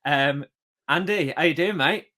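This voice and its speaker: A man's voice: on the high side, unhurried, sharp tone. A man hosting a podcast, chatting in a friendly, easygoing way. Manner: friendly, chatty, a few fillers. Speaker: podcast host. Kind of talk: casual chat.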